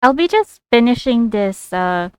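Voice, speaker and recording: A woman's voice: fairly high pitch, sing-song, unhurried, darkish tone, a little hiss or hum. A woman giving casual video game playthrough commentary in short, offhand reactions.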